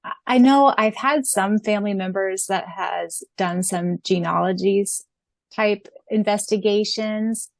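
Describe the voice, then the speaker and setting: A woman's voice: mid-range voice, a little slow, gravelly, no room sound. A woman with an American accent co-hosting a podcast in a casual, conversational style. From United States. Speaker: podcast co-host.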